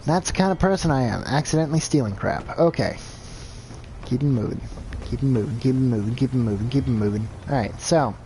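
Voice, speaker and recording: Man, game streamer, casual commentary, self-deprecating, thinks aloud, average pitch. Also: fairly quick, mellow, slight background noise.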